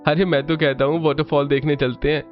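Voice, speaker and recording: A man's voice: fairly high pitch, little pitch movement, brisk, muffled recording, faint music behind, pitched above the performer's own voice, natural voice. A man voicing an eager, playful young brother-in-law in a cartoon story.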